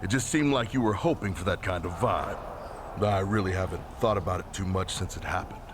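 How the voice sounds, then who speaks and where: A deep-voiced, scheming wrestler played by a male actor in a video game cutscene. He is blunt and terse, with cool self-assurance, over clearly noisy ambience. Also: fairly quick, natural voice.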